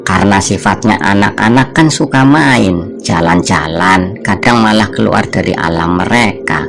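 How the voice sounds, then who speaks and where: An actor playing a calm village Islamic cleric who prays and gently explains, over loud music. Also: male voice, low voice, creaky. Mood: reassuring. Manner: calm, measured, reassuring. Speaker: ustadz praying over a sick girl.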